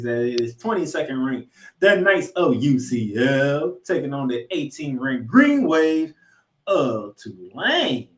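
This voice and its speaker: Echoey male sports podcast host. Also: fairly high pitch. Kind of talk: college football game previews and picks. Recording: roomy, echoey sound.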